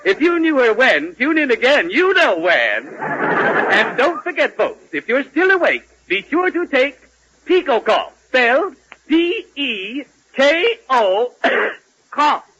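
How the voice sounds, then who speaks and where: Casting: gushing 1940s radio announcer, very high and bright, lively sales patter, old noisy recording. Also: male voice, slow, exaggerated voice. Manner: gushing mock sales pitch, repeats slogans. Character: excitable radio announcer hawking a miracle drink. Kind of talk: 1940s radio comedy acting. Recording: noisy, dull vintage 1940s radio broadcast.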